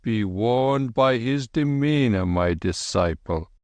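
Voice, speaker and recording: A man voicing an old, gentle holy man who speaks in dignified, archaic phrases. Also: mid-range voice, animated, slow, no echo. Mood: solemn. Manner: solemn, archaic, dignified speech.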